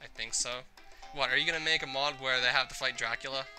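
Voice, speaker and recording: A game player reading a visual novel's narration aloud in an expressive storytelling style. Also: mid-range voice, fast talker, soft background music.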